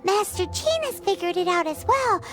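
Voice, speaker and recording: An actress voicing a tiny, excitable fairy companion girl who chirps and speaks of herself in the third person. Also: very high-pitched, moderate pace, music in the background. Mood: curious. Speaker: voice actor in a game dub.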